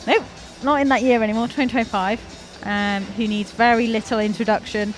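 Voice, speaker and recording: A woman's voice: on the high side, fairly even intonation, a little fast, faint music behind, rough recording. A young woman from North Wales giving chatty, enthusiastic live commentary on a climbing competition, often laughing at her own slips.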